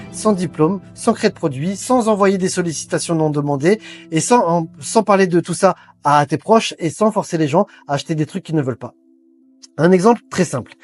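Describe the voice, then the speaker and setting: A middle-aged man giving an earnest sales pitch for a training program, talking straight to the listener and stumbling over frequent fillers. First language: French. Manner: hesitant, many fillers, earnest persuading. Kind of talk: direct-to-camera sales pitch. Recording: faint background music.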